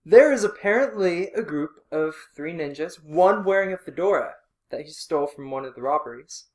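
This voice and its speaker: A man co-hosts a casual podcast in an easygoing, conversational way. He is quick to poke fun at himself. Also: on the high side, lively intonation, a little mellow. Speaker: podcast co-host. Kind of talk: casual chat.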